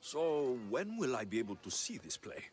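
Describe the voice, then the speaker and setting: An actor playing a confident, dry-witted ancient Greek mercenary in a video game, direct and wry. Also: male voice, mid-range voice, very animated, moderate pace, a little mellow, slight background noise, natural voice. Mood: businesslike. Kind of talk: video game dialogue acting.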